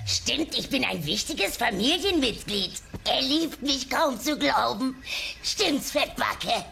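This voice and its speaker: Very creaky old grumpy grandmother. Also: high voice, expressive, takes it slowly, bright, forceful tone, full character voice. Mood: gleefully spiteful. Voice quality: creaky, gravelly. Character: grumpy, lonely, spiteful old dinosaur grandmother. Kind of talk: German dubbed sitcom dialogue. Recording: music laid under the voice.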